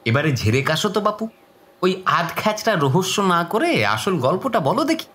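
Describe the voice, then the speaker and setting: An actor playing an impatient young club friend with a husky voice in an audio drama. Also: male voice, high voice, big pitch swings, moderate pace, fairly bright tone, full character voice.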